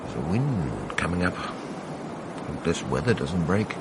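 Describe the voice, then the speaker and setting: Seasoned American Hollywood tough guy, low register, expressive swoops, laconic drawl, wry, guarded, radio play. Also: slow pace, creaky, heavily acted. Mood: subdued. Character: hard-nosed ageing Hollywood movie veteran, wry and guarded. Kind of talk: radio drama acting. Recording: noisy archive radio broadcast with ambience.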